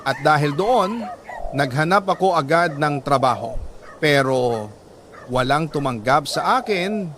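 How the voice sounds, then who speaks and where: A higher-pitched male voice of a storyteller playing a weary middle-aged working man recounting his life. He speaks solemnly and earnestly, pausing for drama. There is some noise behind the voice. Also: fairly bright tone. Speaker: storyteller narrating a listener's letter. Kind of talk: dramatic scripted first-person story narration.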